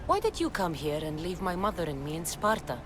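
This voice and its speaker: An actress playing a determined, defiant warrior daughter of the ancient world in a video game, direct and insistent. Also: female voice, lowish voice, sing-song, fairly quick, music in the background. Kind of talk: dramatic video game acting.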